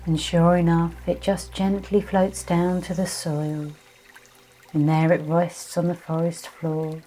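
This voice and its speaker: Soothing, mellow woman guiding meditation. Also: fairly low pitch, little pitch movement, low-quality recording. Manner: gentle, soothing, unhurried hypnotic delivery with many pauses. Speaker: meditation guide. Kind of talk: guided meditation and visualization narration. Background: soft music with trickling, dripping water.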